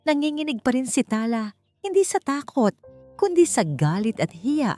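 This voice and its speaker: A woman's voice: sits high, very animated, normal speed, warm tone, soft background music. A woman reading a scripted romantic story as a dramatic narrator.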